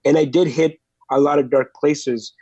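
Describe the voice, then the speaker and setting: A man's voice: on the high side, steady tone, normal speed, dark tone, breathy edge, no room sound, lo-fi sound. A man in his forties, from El Salvador, earnestly telling his life story as a podcast guest, with many fillers and restarts.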